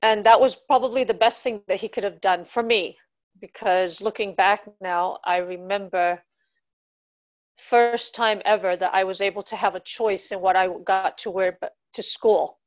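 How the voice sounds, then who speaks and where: A woman's voice: medium pitch, steady tone, muffled recording. Barbadian-born woman telling her story. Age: 50s. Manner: conversational, frequent ums, unhurried. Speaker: speaker at a talk. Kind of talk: personal storytelling talk.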